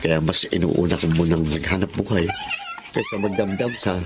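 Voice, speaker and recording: A man's voice: deep voice, normal speed, natural voice. Actor as a humble struggling father, noisy recording. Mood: resigned. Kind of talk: Tagalog radio drama acting.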